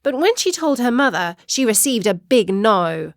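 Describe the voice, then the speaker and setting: A woman narrating a children's story in an expressive storytelling manner. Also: a little high, moderate pace, no echo, studio quality.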